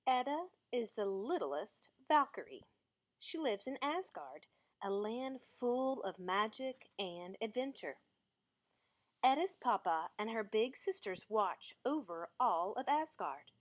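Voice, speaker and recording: Slow, lively, high-voiced woman reading aloud. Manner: expressive, deliberate storytelling. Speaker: storybook reader. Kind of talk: children's picture book read-aloud.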